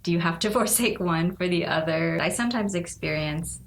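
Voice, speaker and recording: A woman's voice: on the low side, normal speed, creaky, slight background noise. A woman in her forties from Nepal, a guest speaking thoughtfully in a casual interview, often laughing and saying "you know".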